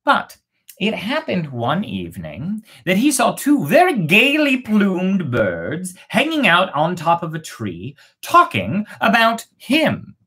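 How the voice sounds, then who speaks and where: A woman's voice: on the low side, huge pitch swings, slow pace, fairly bright tone, husky. A woman narrating a fairy tale as host, playful and breaking off for casual asides. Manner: playful, self-interrupting. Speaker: storyteller and host.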